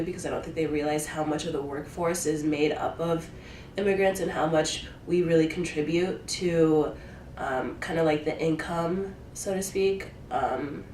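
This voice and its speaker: A young woman of eighteen with a low voice answers interview questions casually, pausing often on "um" and "like". She sounds a little distant from the mic, in a room with a lot of echo. A faint rumble sits underneath. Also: a little mellow. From Ohio, USA; born in Taiwan. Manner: hesitant, many fillers like "um" and "like".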